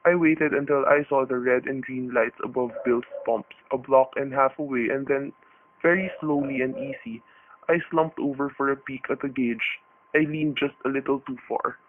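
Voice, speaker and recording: A man's voice: mid-range voice, fairly even intonation, moderate pace, natural voice. A man playing a tense husband who narrates his ordeal in a radio thriller, colloquial and vivid, on a thin, noisy recording. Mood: tense, brooding. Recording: tinny, noisy narrow-band radio recording.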